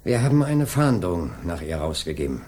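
An actor playing a calm, methodical police inspector in a radio crime drama, questioning in a measured, insistent way. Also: male voice, sits low. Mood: measured, probing. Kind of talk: 1980s German radio crime drama acting.

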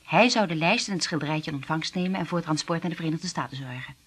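An actress in a Dutch radio play, playing an earnest, conflicted secret agent princess with a husky voice. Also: female voice, low voice, fast, fairly bright tone. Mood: grave, recounting.

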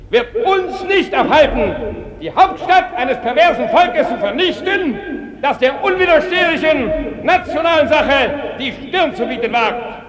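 An actor playing a pompous, threatening fascist general who declaims propaganda in a radio speech. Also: male voice, very high voice, full character voice. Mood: furious, contemptuous. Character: bombastic menacing fascist general orating over the radio. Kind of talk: theatrical radio propaganda oratory. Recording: echoing hall with crowd behind.

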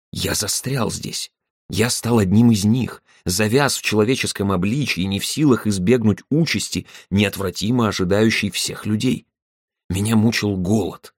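A man narrating a literary first-person story in a measured, deliberate way, with dramatic pauses. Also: fairly low pitch, breathy edge, dry recording, clean studio sound. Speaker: audiobook narrator.

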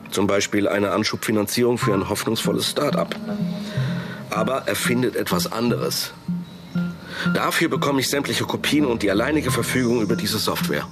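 A man's voice: on the low side, normal speed, lightly acted. Man acting curt tech boss guy, guarded and commanding, radio play, music under him. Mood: wary, firm. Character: guarded, authoritative male tech company boss.

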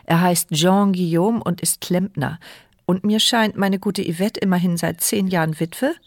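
A woman's voice: on the low side, measured pace, no room sound, studio quality. An actress voicing the inner monologue of a paralyzed, mute young woman in a radio play, intimate and reflective. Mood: wry.

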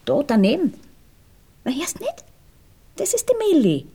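An actress in a radio play voicing a sleepy, unsentimental young Viennese streetwalker, casual and blunt with a coquettish drawl. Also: female voice, high-pitched, huge pitch swings, unhurried, gravelly, warm tone, heavily acted. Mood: matter-of-fact. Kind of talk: naturalistic radio play acting.

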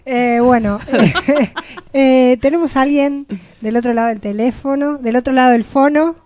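High-voiced Argentine woman hosting radio. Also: moderate pace, mellow. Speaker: radio host. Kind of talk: casual radio interview.

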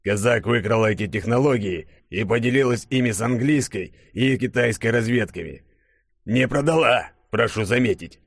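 A man's voice: medium pitch, normal speed, creaky, breathy edge, no echo, clean studio sound, pitched above the performer's own voice. A man voicing a stern, irritable boss with a formal, commanding manner in an audiobook. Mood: pointed.

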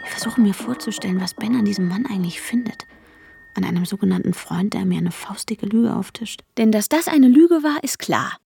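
An actress playing an anxious, insistent woman searching for her vanished lover, urgent and often hushed, in a radio drama. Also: medium pitch, animated, a little fast, vocal fry. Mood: brooding, intimate. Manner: urgent, questioning, often dropping to a hushed whisper. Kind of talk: radio drama dialogue and intimate first-person narration.

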